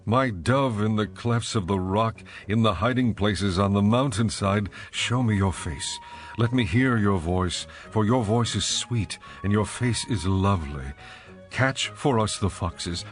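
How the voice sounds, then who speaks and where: An actor playing an ardent, adoring bridegroom, speaking tenderly and poetically in a dramatized scripture reading. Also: male voice, on the low side, lively intonation, soft background music. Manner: tender, poetic, measured delivery.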